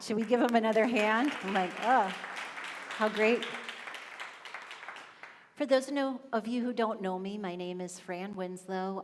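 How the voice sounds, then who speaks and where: A woman's voice: medium pitch, a little hiss or hum. A woman giving a conversational sermon as a spiritual practitioner, telling family stories. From Swedish and Lithuanian family. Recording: hall reverb.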